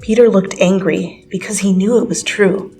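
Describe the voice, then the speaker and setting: A woman's voice: mid-range voice, steady tone, normal speed, warm tone. A woman reading a story aloud as scripted, dramatic second-person narration. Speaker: fan fiction reader. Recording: music under the voice.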